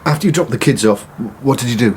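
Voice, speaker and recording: An actor playing a gentle, patient male memory specialist who questions a witness in a measured way in a radio drama. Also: medium pitch, expressive, slight background noise, natural voice. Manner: gentle, measured, soft-spoken.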